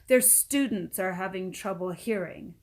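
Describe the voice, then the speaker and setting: A woman voicing a stern male school principal in a storybook, speaking in a measured, formal way. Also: mid-range voice, a little mellow, natural voice.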